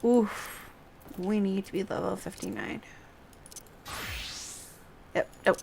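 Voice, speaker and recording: A woman's voice: mid-range voice, big pitch swings, slow, gravelly, a little mellow, slight background noise. A woman giving casual livestream commentary while she plays a video game. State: coughing. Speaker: game streamer. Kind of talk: casual livestream gameplay commentary, reading dialogue aloud.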